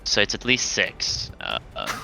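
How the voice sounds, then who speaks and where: A man playing a tabletop game, chatting casually in a halting, hesitant way. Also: low-pitched, huge pitch swings, creaky, pushed and bright, rough recording. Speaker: player. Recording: music behind the voice.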